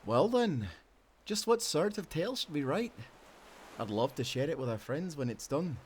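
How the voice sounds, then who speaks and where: An actor playing a devoted, playful sailor husband with a Scottish accent, speaking tenderly in old-fashioned seafaring language for a romantic audio roleplay. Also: male voice, on the high side, big pitch swings, normal speed, slight background noise. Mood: warm, eager. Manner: tender, teasing, given to soft chuckles.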